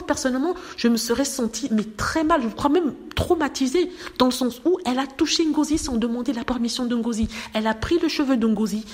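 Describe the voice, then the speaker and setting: Indignant woman vlogger, fairly high voice. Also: a little mellow. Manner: indignant, emphatic, addresses viewers directly. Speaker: vlogger commenting on news. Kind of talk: casual commentary to camera.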